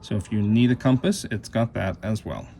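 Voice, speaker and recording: A man's voice: fairly low pitch, normal speed, mellow. A man giving a casual, plain and explanatory hands-on product demonstration. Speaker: product reviewer. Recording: clear background noise.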